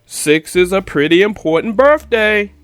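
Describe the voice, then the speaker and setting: A woman voicing a jolly, easygoing papa bear with a hearty, lowered voice. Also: lively intonation, unhurried, no room sound, lightly acted. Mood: warm.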